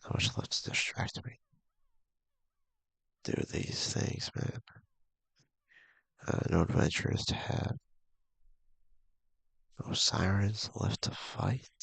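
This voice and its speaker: A man with a gravelly, husky voice casually reading a visual novel aloud, halting and mumbling with offhand asides. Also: sits low, fairly bright tone, dry recording, rough recording. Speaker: video game streamer.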